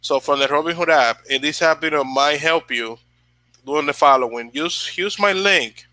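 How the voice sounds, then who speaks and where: A man's voice: on the high side, normal speed, pushed and bright, dry recording. A young man from the Dominican Republic hosting a casual motivational livestream, rambling with many fillers and restarts while he answers viewers. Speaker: channel host.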